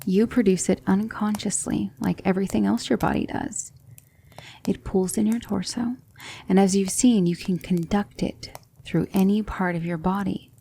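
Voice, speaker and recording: A woman playing a gentle, patient demon mentor in an ASMR roleplay, speaking softly and often in a whisper, soothing and reassuring. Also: mid-range voice, fairly even intonation, moderate pace, gravelly, a little hiss or hum, close to an everyday voice. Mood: soothing, instructive.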